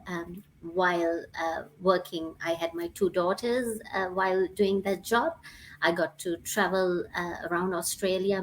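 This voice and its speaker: A woman in her forties, originally from Sri Lanka, gives a personal testimonial. She speaks hesitantly, filling her pauses with many ums and uhs, at a mid-range pitch. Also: a little hiss or hum, poor audio. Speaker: guest sharing her story.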